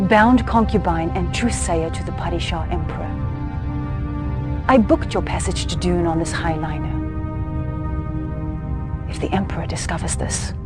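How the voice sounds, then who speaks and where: An actress playing a solemn, mysterious noblewoman seer in a video game cutscene, measured and portentous, sometimes dropping to a breathy near-whisper. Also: mid-range voice, close to an everyday voice.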